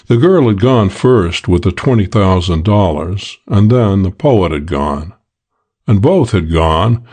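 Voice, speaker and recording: Male audiobook narrator for first-person hardboiled detective fiction, lowish pitch, measured and dry. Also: a little mellow.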